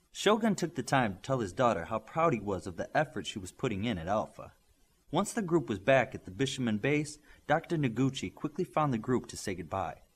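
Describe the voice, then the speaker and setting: A man's voice: mid-range voice, breathy edge. A man reading scripted fiction as an audiobook narrator.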